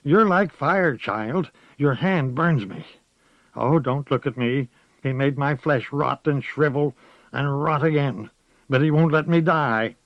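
An old village witch, cursed and afraid, pleads in a high, trembling voice, as a male narrator puts her on. She sounds fragile and desperate. The recording is dry and a little muffled. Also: slow, no room sound. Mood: pleading, frightened. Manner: pleading, quavering.

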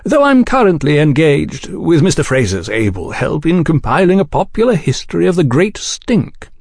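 Man playing smooth, high-pitched English gentleman journalist. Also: very animated, normal speed, no room sound, clean studio sound, heavily acted. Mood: smoothly cordial. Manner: mild, effusively polite.

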